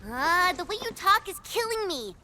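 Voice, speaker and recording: Very high, excitable young woman. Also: moderate pace, a little hiss or hum, full character voice. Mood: startled, impatient. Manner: expressive, informal, impulsive. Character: excitable, outspoken young woman, anxious for her friend. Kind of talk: video game cutscene acting.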